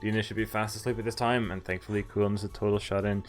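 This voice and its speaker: A man's voice: on the low side, little pitch movement, quick pace. A man livestreaming a visual novel, reading it aloud theatrically with amused commentary. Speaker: game streamer. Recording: game music behind the voice. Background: visual novel music and Japanese game voices.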